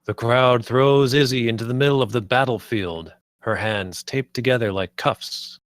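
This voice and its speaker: A man's voice: medium pitch, moderate pace, dry recording, clean studio sound. A man reading stage directions aloud as scripted screenplay narration.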